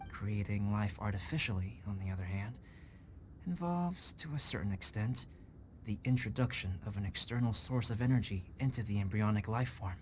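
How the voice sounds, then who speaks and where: A man voicing a calm, scholarly young alchemist in a video game, speaking in a measured, articulate, contemplative way. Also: lowish voice, a little hiss or hum, close to an everyday voice. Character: calm, scholarly young male alchemist, artificially created human. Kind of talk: scripted English video game voice acting. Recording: prominent game music behind voice.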